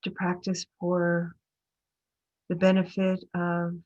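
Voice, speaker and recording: A woman leading a guided meditation as a teacher, speaking gently and hesitantly with long pauses, on muffled online call audio. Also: fairly low pitch, monotone.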